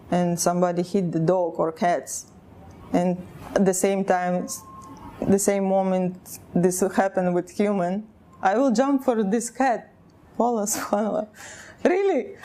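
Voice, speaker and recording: Russian-accented woman, halting English. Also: mid-range voice, warm tone, a little hiss or hum. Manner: halting, searching for words, broken English. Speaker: interviewee. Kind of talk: personal testimony in an interview.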